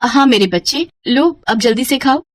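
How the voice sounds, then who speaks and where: A woman's voice: high voice, normal speed, no echo, clean studio sound. Woman playing a doting cartoon village mom, affectionate, voice swoops around a lot. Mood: tender. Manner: affectionate, expressive. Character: doting, anxious young village mother and wife. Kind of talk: cartoon story voice acting.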